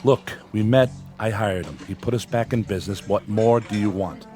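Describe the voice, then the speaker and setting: An actor playing a driven, suspicious newspaper editor in a radio drama, curt, testy and quick to get defensive. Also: male voice, on the low side, warm tone, close to an everyday voice. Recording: radio drama with music underneath.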